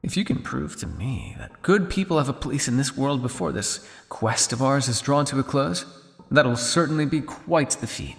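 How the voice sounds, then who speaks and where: A man's voice: mid-range voice, expressive, normal speed, gravelly, in a large hall. A man playing a cynical, world-weary vampire scientist on the run in an intimate, condescending ASMR roleplay monologue. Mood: dry, sardonic. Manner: sardonic, condescending, with exasperated sighs and dry asides.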